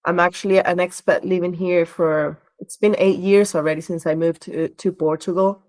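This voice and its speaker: A woman's voice: lowish voice, steady tone, moderate pace, darkish tone. Woman in conversational interview. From Venezuela. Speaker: podcast guest, relocation director. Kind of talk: conversational interview answers, storytelling and explaining.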